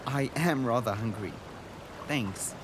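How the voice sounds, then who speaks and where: A man's voice: mid-range voice, takes it slowly, noisy background, close to an everyday voice. A man playing an earnest, wonder-struck boy traveler in a radio drama, speaking as if recording an audio diary. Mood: earnest, grateful. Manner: earnest, descriptive, full of wonder.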